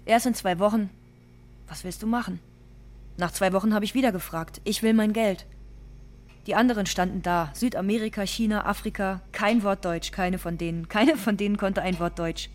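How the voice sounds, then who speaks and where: A woman's voice: medium pitch, moderate pace, close to an everyday voice. An actress playing an exploited migrant cleaning woman, telling her story in clipped, repetitive phrases. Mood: bitter recounting. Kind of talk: dramatic first-person testimony monologue.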